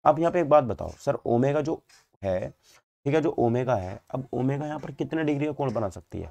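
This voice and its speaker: A man's voice: medium pitch, lively intonation, moderate pace, vocal fry, dark tone. A man with a North Indian accent giving an explanatory physics lecture as a teacher, repeating points and answering his own questions. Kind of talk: explanatory exam-prep lecture.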